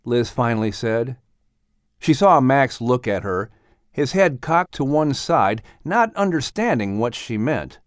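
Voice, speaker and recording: A man's voice: medium pitch, very animated, unhurried, no room sound, studio quality. A man reading scripted narration as an audiobook storyteller and announcer.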